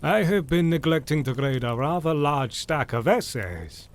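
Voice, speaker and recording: An actor playing a courteous, formal Victorian university scholar in an audio drama. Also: male voice, a little high, animated, moderate pace.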